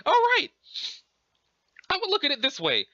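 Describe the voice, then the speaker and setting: A man voicing a chatty, scholarly, excitable alien troll with an affected British accent and a pompous, wordy manner. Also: very high-pitched, moderate pace, no echo, dull audio, pitched above the performer's own voice, full character voice. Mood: stirring, jaunty. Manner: pompous, wordy, effusively enthusiastic. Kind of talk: theatrical character reading of a webcomic.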